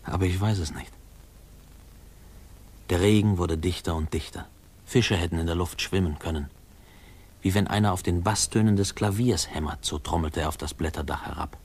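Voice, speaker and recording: A man's voice: low-pitched, moderate pace, long pauses, a little mellow, slightly rough. An actor playing a grown man remembering his boyhood, narrating a 1950s radio drama in a reflective, lyrical way. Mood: wistful, reflective. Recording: faintly noisy old radio recording.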